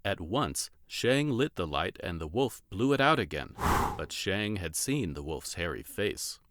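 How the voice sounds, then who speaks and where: A man's voice: sits low, big pitch swings, a little slow, a bit bright, no echo, clean studio sound. A man reading a children's story aloud as a scripted narration.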